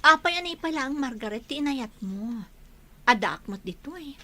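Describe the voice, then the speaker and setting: A woman's voice: sits high, expressive, moderate pace, sharp tone. A woman with a Filipino accent playing a headstrong, lovestruck young village woman in a radio drama. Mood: lovesick, pleading. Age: young adult.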